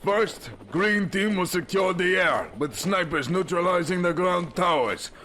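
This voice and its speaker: An actor playing a stern, brisk special forces colonel in a radio drama, clipped and authoritative. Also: male voice, high-pitched, animated, bright, forceful tone, a little hiss or hum, exaggerated voice. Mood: commanding.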